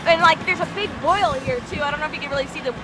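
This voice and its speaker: A woman in her thirties from Florida giving excited fishing commentary to the camera from an open boat at sea, bubbly and exclamatory. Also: high voice, rapid-fire, music in the background. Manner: bubbly, exclamatory, talks to viewers as guys. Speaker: fishing vlogger. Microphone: action camera held close.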